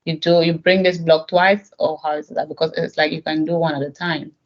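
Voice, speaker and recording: This speaker talks casually and checks their understanding out loud, circling back with restarts as they form each question. The pitch is middling. The audio is dull and lo-fi, as if coming over a call. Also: flat delivery, fairly quick. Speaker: learner asking questions.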